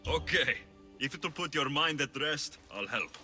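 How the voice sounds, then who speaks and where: An actor playing a confident, sardonic ancient Greek mercenary hero in a video game, speaking in a wry, blunt way. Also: male voice, a little high, lively intonation, a little slow, pushed and bright, faint music behind, heavily acted. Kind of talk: video game character acting.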